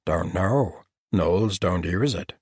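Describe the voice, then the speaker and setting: A man's voice: low voice, slow pace, a little mellow, slightly rough, dry recording, full character voice. A man voicing a blunt male gnoll warrior who speaks in clipped broken phrases and refers to himself in the third person. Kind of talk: audiobook character voice.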